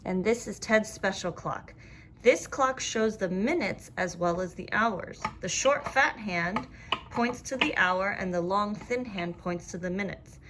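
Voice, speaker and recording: A woman reading a children's storybook aloud as narrator, with expressive storytelling. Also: medium pitch, a little slow, background noise. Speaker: storybook reader.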